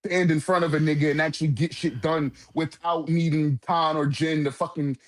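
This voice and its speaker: A man's voice: on the high side, steady tone, moderate pace. A man in a casual manga discussion, talking in an emphatic, profane, slang-heavy way as a commentator.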